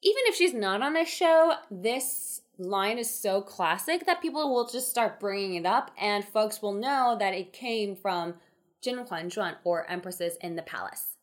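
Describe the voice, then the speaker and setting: A woman's voice: mid-range voice, expressive, a little slow. A woman co-hosting a podcast, recapping a drama and wrapping up the episode. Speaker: podcast co-host. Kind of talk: casual podcast chat.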